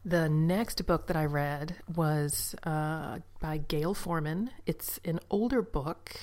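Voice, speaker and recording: A woman chatting casually about books she has read, rambling with fillers and asides, sometimes dropping to a soft whisper. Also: deep voice, slow. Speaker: book vlogger. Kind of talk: casual book review chat.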